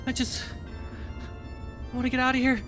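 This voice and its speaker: A frightened captive, as a game master acts it out in a tabletop roleplaying game. The voice is lifted high and pleads with breathless urgency, with music playing clearly behind it. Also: completely flat delivery, normal speed, natural voice. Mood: frightened pleading.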